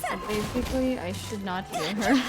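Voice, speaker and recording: A woman's voice: medium pitch, normal speed. A woman doing casual live gameplay commentary, muttering to herself with mild exclamations, with music behind her. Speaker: game streamer. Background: video game music and sounds.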